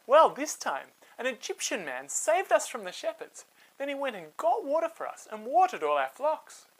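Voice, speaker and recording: A man voicing an excited young shepherd woman in a storybook tale, in a chattering, exclamatory way. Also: very high voice, moderate pace, voice pushed up, exaggerated voice, rough recording.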